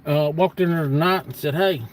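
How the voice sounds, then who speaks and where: A man's voice: fairly high pitch, brisk. Blunt man in his fifties, noisy background. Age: 50s. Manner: blunt, folksy, emphatic repetition. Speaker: livestream host. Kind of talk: casual rambling monologue to viewers.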